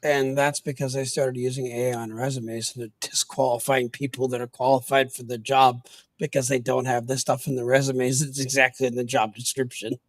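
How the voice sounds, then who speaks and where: A man's voice: mid-range voice, little pitch movement, brisk, no room sound. A man co-hosting a podcast in casual chat, talkative with sarcastic asides. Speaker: podcast co-host.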